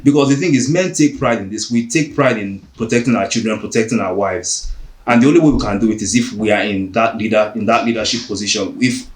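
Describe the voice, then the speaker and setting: A man's voice: fairly low pitch, quick pace, reverberant. A man from Nigeria, hosting a podcast and earnestly pressing his point in a heated debate. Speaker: podcast host.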